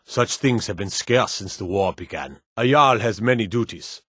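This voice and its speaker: Dignified low-voiced fantasy nobleman. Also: a bit bright, slightly rough, no echo, studio quality. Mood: courtly, measured. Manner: formal, measured, stately. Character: dignified loyalist nobleman, courtly and gossipy fantasy lord. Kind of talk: scripted fantasy video game dialogue.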